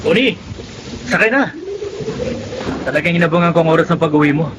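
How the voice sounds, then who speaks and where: A man's voice: on the high side, a little fast, noisy background, close to an everyday voice. A man playing an eager, smitten suitor in a radio drama, ardent and persuasive. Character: eager, smitten male suitor, an electrical engineer.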